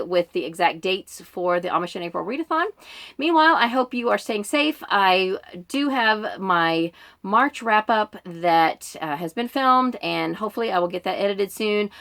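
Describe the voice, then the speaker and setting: A woman's voice: medium pitch, a bit bright. A woman chatting informally in a book vlog, meandering through her reading list and often interrupting herself. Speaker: book vlogger.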